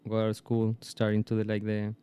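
Young Chilean guest, Spanish-accented English, smooth resonant voice, halting phrasing. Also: male voice, lowish voice, monotone, warm tone. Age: 20s. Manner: hesitant, searching for words. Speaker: guest skydiver. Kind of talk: casual podcast chat.

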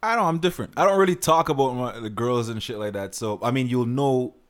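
Quick-talking, matter-of-fact man. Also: mid-range voice, lively intonation, smooth. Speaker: podcast co-host. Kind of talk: casual podcast banter.

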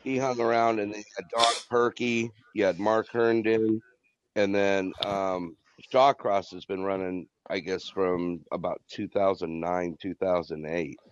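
An easygoing man co-hosting a podcast, with a very deep voice as he shares stories. The sound is dull and lo-fi. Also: flat delivery, a little slow. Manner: easygoing, storytelling, agreeable. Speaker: co-host, drummer. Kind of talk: casual chat.

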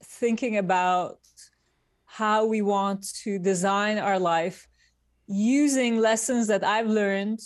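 A woman from Turkey, a guest designer, speaking in a thoughtful, unhurried way in a casual interview over a remote call. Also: medium pitch, no room sound, clean studio sound.

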